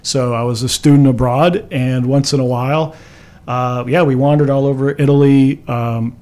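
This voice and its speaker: A man's voice: medium pitch, steady tone, moderate pace, darkish tone. A man in his fifties from the United States, co-hosting a casual talk show in a chatty, rambling, teasing way. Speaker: co-host.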